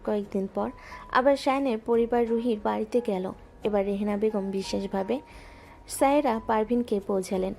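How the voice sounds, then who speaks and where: Mellow woman narrating a love story. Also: medium pitch, normal speed, vocal fry. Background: soft background music.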